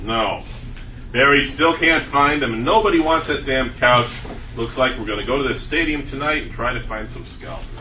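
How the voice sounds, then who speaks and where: A man's voice: medium pitch, normal speed, pushed and bright, close to an everyday voice. A man playing a stubborn, exasperated ticket hunter in a sitcom table read, with a blunt delivery. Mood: grumbling. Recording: echoey room, distant mic, background noise.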